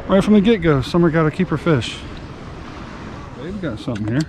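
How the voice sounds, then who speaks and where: High-voiced folksy fishing host. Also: male voice, very animated, a little slow. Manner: folksy and upbeat, addressing viewers as y'all. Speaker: fishing channel host and charter captain. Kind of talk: casual vlog narration. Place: open boat on the water outdoors. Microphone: action camera on the boat. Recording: outdoor boat recording with engine and water noise.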